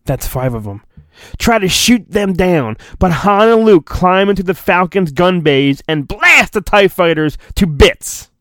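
A man reads a storybook in a theatrical, mock-dramatic way, with a high voice that swings widely in pitch. He takes his time and drops into jokey, deadpan asides. The sound is close and dry. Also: unhurried, dry recording. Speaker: podcast host.

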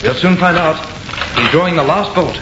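An actor playing a polite, intense artist, with a courteous, earnest, theatrical manner in 1930s radio drama style. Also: male voice, on the high side, normal speed, lightly acted. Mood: intense, compelled. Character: polite, intense artist drawn by strange compulsion. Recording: noisy, muffled, echoey old radio.

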